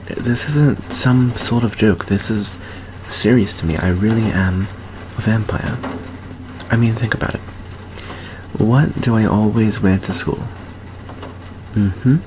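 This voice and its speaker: A man acts out an earnest, nervous teenage vampire boy in an intimate ASMR roleplay. His voice sits low and crackles with vocal fry. He speaks slowly and hesitantly, stumbling over his words as he confides in a friend. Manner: hesitant, halting, stammering.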